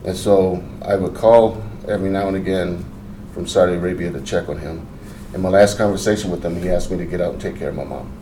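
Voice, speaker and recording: A middle-aged man from Portland recounts his life in a relaxed interview, pausing often and restarting his sentences. His voice sits low and mellow. The mic is some way off in an echoey room. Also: slight background noise. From Portland, Oregon. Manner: unhurried, halting with fillers and restarts. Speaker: interview guest, veteran. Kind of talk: casual life-story interview. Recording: roomy, mic at a distance.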